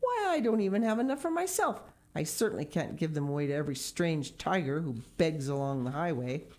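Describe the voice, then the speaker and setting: A woman plays a greedy little storybook boy with a piercing, high voice full of scorn. He snaps and sputters with indignation, sliding up and down in pitch as he talks. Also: big pitch swings, brisk, darkish tone. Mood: scornful. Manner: shrill, snorting, indignant. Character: greedy, selfish little boy, quick to rage.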